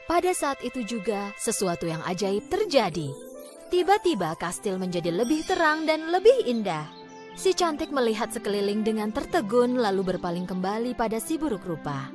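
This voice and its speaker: A woman narrating a children's fairy tale in an expressive, scripted storytelling style. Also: a little high, moderate pace. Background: background music.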